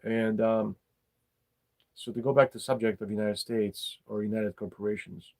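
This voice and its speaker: A middle-aged man, talking casually and bluntly about his opinions, halting and repeating his words. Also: on the low side, fairly even intonation, a little mellow. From former Yugoslavia. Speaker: podcast host.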